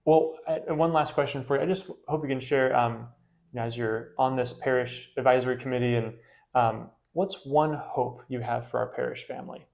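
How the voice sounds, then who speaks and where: Priest man, gravelly voice, friendly, lots of ums while asking questions. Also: medium pitch, steady tone, brisk, darkish tone. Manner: friendly, hesitant with many fillers. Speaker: parish priest hosting interview. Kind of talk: casual interview.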